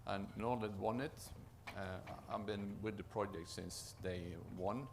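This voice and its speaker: A man with a Norwegian accent giving a conference presentation as a project manager, often halting to restart and repeat words. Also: on the low side, background noise. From Norway.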